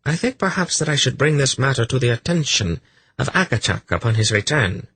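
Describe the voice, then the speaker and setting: A man plays a cruel high priestess in a fantasy audiobook. She is imperious and suspicious, with a husky voice pitched up and swinging widely as she questions with cold, sarcastic menace. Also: expressive, a little fast, a bit bright, dry recording. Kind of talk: fantasy audiobook character acting.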